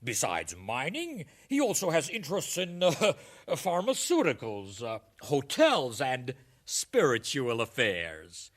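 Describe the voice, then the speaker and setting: A man's voice: medium pitch, huge pitch swings, takes it slowly, exaggerated voice. A man playing an effusive, pompous foreign ambassador in a satirical radio drama, hesitating theatrically. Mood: gushing. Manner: theatrical, hesitating with fussy ehs and uhs.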